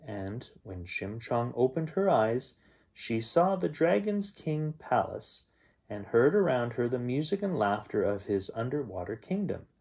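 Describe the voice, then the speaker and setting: Man, middle-aged, husky voice, animated children's storytelling. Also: mid-range voice, a little slow. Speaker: children's bedtime story reader, host. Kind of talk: expressive read-aloud storytelling. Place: small bedroom at home, indoors.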